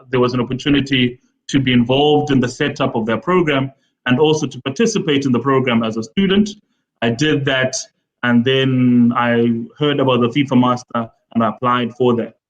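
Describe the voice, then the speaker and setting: A man in his forties from Zimbabwe speaks as the guest of a live online interview, his middle-pitched voice coming through a slightly dull, lo-fi call line. He talks in long, measured explanations, pausing on frequent fillers. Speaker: guest, football development official. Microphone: video call.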